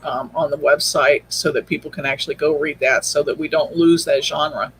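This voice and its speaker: A woman's voice: sits low, fairly even intonation, moderate pace, low-quality recording. A woman chatting casually as a podcast guest, explaining things in an informal, hesitant way. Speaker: podcast guest, audio drama creator. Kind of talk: casual interview chat. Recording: faint background noise.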